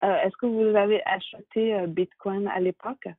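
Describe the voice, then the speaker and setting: A woman hosting an interview podcast, asking questions conversationally with halting, repeated words. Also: mid-range voice, steady tone, no echo, narrow, tinny audio. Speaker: podcast host.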